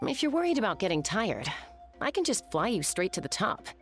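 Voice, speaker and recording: A woman's voice: mid-range voice, very animated, a little fast, music in the background, close to an everyday voice. A woman voicing a bold, self-assured warrior in an anime game. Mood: confident. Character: bold, confident warrior woman who relishes battle.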